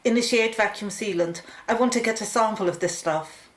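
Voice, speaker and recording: A woman acting a brisk, focused research scientist giving instructions in a radio play. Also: mid-range voice, echoey room, natural voice.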